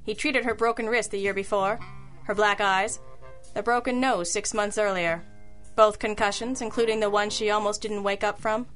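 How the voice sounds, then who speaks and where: A woman playing a sharp, wry private detective in an old-school radio drama, crisp and matter-of-fact, with compassion underneath. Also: medium pitch, fairly even intonation, normal speed, soft background music, close to an everyday voice.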